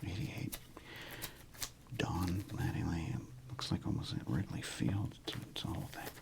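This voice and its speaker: A man hosting an ASMR video, speaking in a breathy whisper with a gravelly creak, rambling casually as if musing to himself. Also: low voice, steady tone, normal speed, noisy background. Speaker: host of a sports card ASMR channel. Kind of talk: ASMR, unscripted whispered commentary on a card collection.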